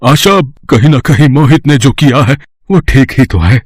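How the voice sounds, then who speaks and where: A man's voice: mid-range voice, normal speed, breathy edge, dry recording, studio quality, lightly acted. An actor playing an emotional, sympathetic older father in an audio family drama. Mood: reflective.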